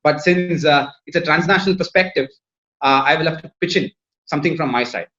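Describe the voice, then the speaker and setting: A man's voice: on the high side, brisk, fairly bright tone, muffled recording. A man from India with an Indian English accent, a Tamil native speaker, speaking formally as a lawyer on a webinar panel with frequent fillers. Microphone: video call.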